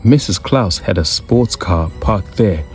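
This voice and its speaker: Guy narrating a kids story as storytime host, really slow and deliberate, lowish voice, bit of music under. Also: expressive, a little mellow. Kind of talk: children's read-aloud narration.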